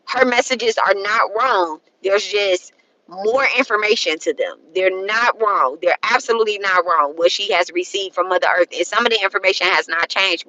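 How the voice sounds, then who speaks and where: A woman's voice: medium pitch, pushed and bright, muffled recording. A woman in her thirties gives a casual spiritual talk to her viewers. She rambles, repeats herself, tags lines with "okay?" and swears freely. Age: 30s. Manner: frank and profane, colloquial phrasing. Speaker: spiritual content creator.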